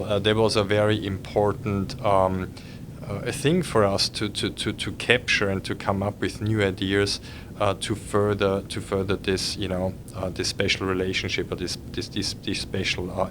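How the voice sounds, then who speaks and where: A man's voice: fairly low pitch, little pitch movement, normal speed, background noise. A middle-aged man with an Austrian accent, a cruise executive speaking hesitantly with many fillers and restarts. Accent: Austrian German. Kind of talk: podcast conversation.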